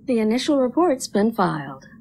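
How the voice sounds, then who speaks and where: Creaky-voiced clinical female official. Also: a little high, big pitch swings, moderate pace, a little mellow, full character voice. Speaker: actor in an audio drama. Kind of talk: audio drama dialogue.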